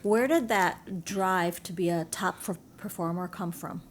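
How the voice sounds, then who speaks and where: A woman's voice: lowish voice, a little slow, slight background noise. A woman hosting an interview, asking questions attentively and affirming briefly. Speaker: podcast host.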